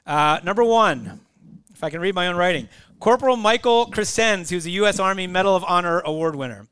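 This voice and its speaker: A man co-hosting a radio chat in an easygoing way, running a quiz. His voice is high and bright, and it sits on a dry, close studio mic with no room sound. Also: animated, fairly quick, clear, resonant tone, no echo. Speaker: radio co-host.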